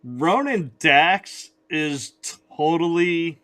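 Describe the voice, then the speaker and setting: A man's voice: a little high, lively intonation, very slow, fairly bright tone. A man hosting a podcast, chatting casually in an easygoing, rambling way with restarts. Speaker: podcast host.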